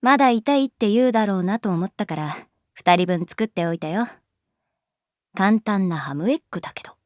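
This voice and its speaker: A woman's voice: mid-range voice, animated, a little fast, dry recording, close to an everyday voice. A woman plays an easygoing, wry schoolteacher in a voice drama. Her mid-range voice moves up and down freely as she teases in a casual, indulgent way. The sound is dry and intimate. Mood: wry, teasing. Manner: casual, teasing, nonchalant. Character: wry, laid-back adult female schoolteacher, quietly indulgent. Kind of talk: Japanese voice drama acting, natural dialogue.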